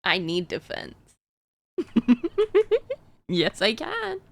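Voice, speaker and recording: Slow-talking gravelly woman streamer. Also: medium pitch, huge pitch swings, no echo. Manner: chatty, answers chat, frequent laughs and fillers. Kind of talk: casual gaming stream chat.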